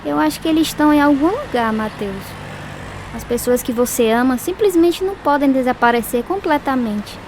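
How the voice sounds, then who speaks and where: A woman's voice: high-pitched, lively intonation, moderate pace, a little mellow, slight background noise. A woman playing a wistful, thoughtful young girl in a radio drama. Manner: wistful, pensive.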